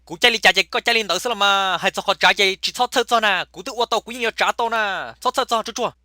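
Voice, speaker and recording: A man's voice: high-pitched, fast talker, sharp tone, no echo, clean studio sound. A man voicing a talkative male character in a comic cartoon. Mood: chatty. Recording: clear background noise.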